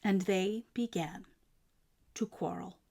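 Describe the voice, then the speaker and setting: A woman reading a picture book aloud as a storyteller, expressive and emphatic, with deliberate phrasing for children. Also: on the low side, gravelly, slightly rough.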